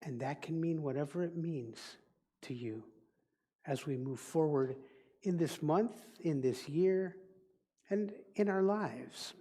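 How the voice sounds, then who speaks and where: A man with a Dutch accent, a native Dutch speaker, giving a reflective sermon as a minister in a deliberate, teaching manner. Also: a little high, mellow, slightly rough. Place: church sanctuary. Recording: long reverb of a large hall.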